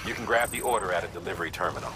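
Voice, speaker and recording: An actor playing a calm male commander who briefs in a measured way. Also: deep voice, over loud music, natural voice. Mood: briefing. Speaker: mission director character.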